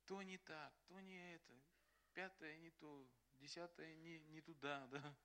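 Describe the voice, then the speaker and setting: A man preaching a sermon as pastor, animated and acting out quotes, often laughing. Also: fairly high pitch, unhurried. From Russia.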